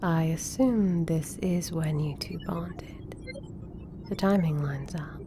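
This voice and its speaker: Composed female doctor voiced by an actress: slow, lowish, formal and clinical, audio roleplay with clear background noise. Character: intelligent, composed female government scientist doctor. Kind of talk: ASMR roleplay drama.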